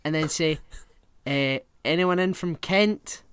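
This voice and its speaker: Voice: mid-range voice, slow pace, smooth, no echo. A podcast co-host chatting casually and swearing freely while telling rambling comic stories. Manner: rambling, animated storyteller.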